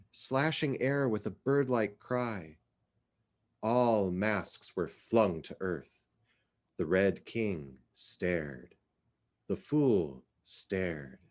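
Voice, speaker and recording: A man giving a dramatic literary reading of poetry, with measured, deliberate, theatrical phrasing. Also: medium pitch, darkish tone. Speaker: literary reader.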